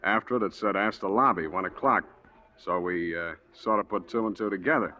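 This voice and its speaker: An American actor playing a methodical, understated police detective with hesitant pauses in a 1940s radio drama. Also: male voice, low voice, sharp tone. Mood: grave, probing. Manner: deliberate, understated, pausing with "uh". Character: low-key, methodical police detective breaking bad news. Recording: muffled old radio broadcast.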